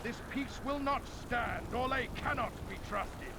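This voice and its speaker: An actor playing a contemptuous, warmongering military commander, a sneering and declamatory villain in a video game. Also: male voice, very high-pitched, a little slow, very noisy. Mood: scornful, menacing.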